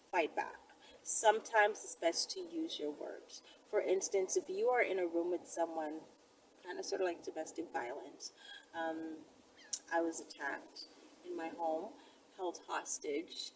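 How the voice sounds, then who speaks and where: A woman giving casual instructional talk to camera as a self-defense presenter, speaking conversationally and directly to her viewers. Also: fairly low pitch. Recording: distant mic, faint background noise.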